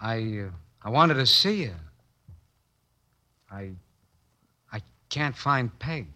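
An American actor playing a troubled, impulsive champion boxer in a 1950s radio drama, emotional in delivery. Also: male voice, mid-range voice, expressive, slow, bright, forceful tone, heavily acted. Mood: subdued, hesitant. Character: hot-headed, troubled champion prizefighter, a man. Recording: vintage radio recording with music.

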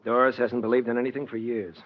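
A male actor playing an earnest, persuasive lawyer and loyal friend in 1940s radio drama, with a husky, sincere, pressing delivery. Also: mid-range voice, little pitch movement, quick pace, lightly acted. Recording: dull vintage 1940s radio recording.

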